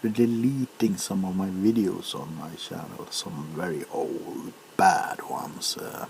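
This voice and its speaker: A man rambling casually for an ASMR video, with a halting, unhurried, hesitant way of talking. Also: sits low, vocal fry, darkish tone, a little hiss or hum.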